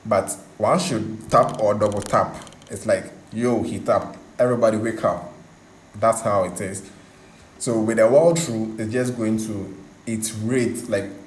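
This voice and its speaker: A man hosting a tutorial, explaining in a casual, conversational, halting way and often repeating himself. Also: fairly low pitch. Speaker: host of a coding tutorial channel. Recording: roomy echo, faint background noise.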